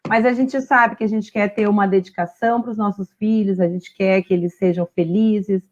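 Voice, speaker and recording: A woman's voice: mid-range voice, steady tone, normal speed, dull audio. A Brazilian woman hosting a casual livestream interview, talking conversationally with frequent tag questions. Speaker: host of an online women's talk series.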